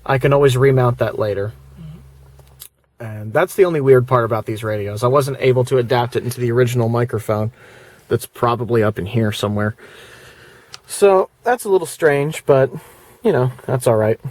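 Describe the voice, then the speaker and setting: A man's voice: mid-range voice, normal speed. Casual man demoing a car stereo. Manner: relaxed and chatty, with fillers and restarts. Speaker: car audio reviewer. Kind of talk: casual hands-on product demo. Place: inside a car. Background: faint music from the car stereo.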